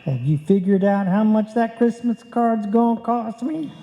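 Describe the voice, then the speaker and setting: Lady putting on a slow drawly cowboy guy, corny and folksy, mellow, roomy hall sound. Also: on the high side. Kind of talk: live old-time radio comedy acting. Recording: dull echoey hall recording.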